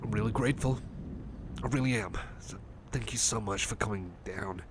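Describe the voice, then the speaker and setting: A man's voice: fairly low pitch, normal speed, noisy background, lightly acted. A man playing a shy, anxious, apologetic cyborg friend in an ASMR roleplay, speaking in a hesitant, nervous stammer. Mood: grateful, uneasy. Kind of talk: ASMR roleplay, personal attention.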